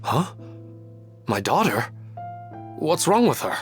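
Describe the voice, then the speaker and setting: A slow-spoken, troubled father. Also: on the high side, very animated, soft background music. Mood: bewildered, worried. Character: troubled, devoted father, bewildered and fearful. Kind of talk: English game dub, dramatic dialogue.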